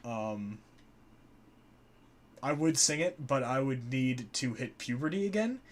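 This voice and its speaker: A man's voice: medium pitch, expressive, slow pace, a loud crowd. Joking young man, rambling casual chat. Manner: loose and joking, with frequent fillers and swearing. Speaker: co-host. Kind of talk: casual live-stream chat about music history.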